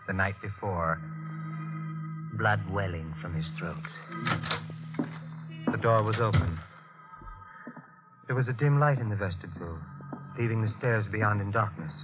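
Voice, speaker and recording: A low, brooding male voice from an old radio thriller, played by an actor. He is a guarded, evasive husband who tells his dark story like a haunted confession. The sound is muffled, with prominent music behind. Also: lightly acted. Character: guarded, brooding married man narrating his own dark crime. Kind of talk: 1940s radio thriller acting, first-person narration.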